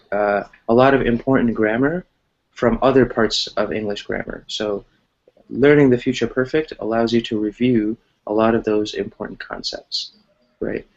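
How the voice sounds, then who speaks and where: A man's voice: medium pitch, muffled recording. An American man teaching an online English class, explaining conversationally and encouragingly, often checking in as he goes. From United States.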